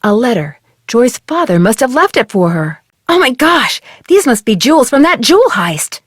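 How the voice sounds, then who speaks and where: A woman voicing a curious, plucky young female amateur detective in a video game, musing to herself in clearly spoken short lines. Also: a little high, big pitch swings, normal speed, no echo, studio quality. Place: dry studio.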